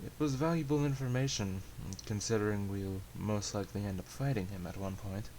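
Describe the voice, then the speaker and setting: A man's voice: on the low side, a little fast, darkish tone, lightly acted, low-quality recording. Man voicing a composed, practical male companion over hiss. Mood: calm, advising. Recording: hissy amateur home recording.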